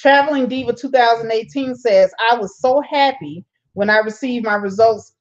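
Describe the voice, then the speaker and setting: Lively woman chatting with her viewers. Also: fairly high pitch, moderate pace, dull audio. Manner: laughs often, reads viewer comments aloud and answers them. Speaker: channel host reading live chat. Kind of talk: casual live-stream chat.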